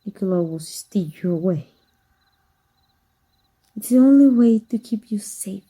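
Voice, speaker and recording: A woman from Mexico voicing a cunning dark magic teacher in an ASMR roleplay, speaking softly and measured, sometimes dropping to a whisper. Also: medium pitch, animated, slightly rough. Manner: soft, measured, drops to whispers. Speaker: voice actor.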